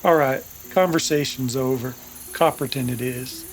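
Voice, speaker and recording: An actor playing a gruff, sarcastic bounty hunter with an in an old-time radio drama, dry and mocking. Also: male voice, medium pitch, moderate pace, slight background noise, close to an everyday voice.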